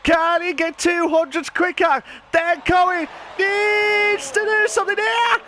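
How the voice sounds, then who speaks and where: A man hosting and commentating an online racing league, his voice hoarse and nearly gone, talking in an excitable, chatty way. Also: extremely high pitch, unhurried. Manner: excitable, shouting during qualifying, then calm chatty standings rundown. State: hoarse, lost voice. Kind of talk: live esports race commentary.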